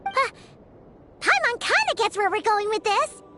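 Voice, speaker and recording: A woman voicing a small, excitable, childlike fairy companion in a video game, cheeky and squeaky. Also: measured pace, full character voice. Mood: excited. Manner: exclamatory, speaks of herself in third person. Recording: music behind the voice.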